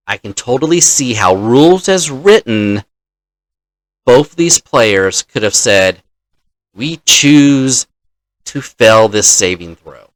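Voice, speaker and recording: Guy game master storytelling about a session, takes his time explaining, middle pitch, dry close sound. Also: no room sound. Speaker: host, dungeon master.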